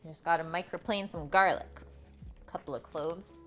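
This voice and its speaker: Low-pitched woman chatting over a cooking stream. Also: moderate pace, a bit bright, soft background music. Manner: chatty, informal, storytelling. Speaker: cooking livestream host. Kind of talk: casual cooking chat with live viewers.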